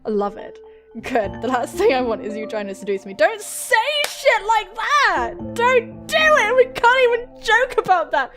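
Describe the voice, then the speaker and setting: Animated young woman playing a visual novel. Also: very high voice, measured pace. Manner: excitable, giggly, dramatic outbursts, sweary. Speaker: gaming video host. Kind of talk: let's play of a visual novel, reading text aloud with excited commentary. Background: music.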